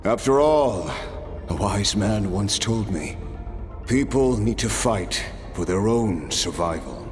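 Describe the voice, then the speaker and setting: A hardened immortal fighter looking back on his life. He speaks slowly, in a low, measured voice. Faint music plays behind him. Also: lively intonation. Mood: reflective. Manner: slow, reflective storytelling. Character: hardened, immortal man recalling his past. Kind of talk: video game voice acting.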